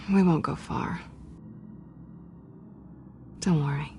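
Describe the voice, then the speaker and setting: A woman's voice: deep voice, drawn-out words, creaky, dark tone, highs cut off, full character voice. An actress playing a wry, independent, street-smart young American woman, blunt and guarded, in a video game drama. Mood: wry, resigned. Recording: music behind the voice. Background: music.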